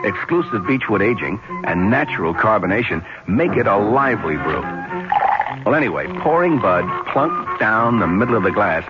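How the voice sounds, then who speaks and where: A man's voice: on the low side, sing-song, normal speed, highs cut off. A man reading a radio commercial in a friendly, persuasive way. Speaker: ad announcer. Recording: old radio broadcast with loud music.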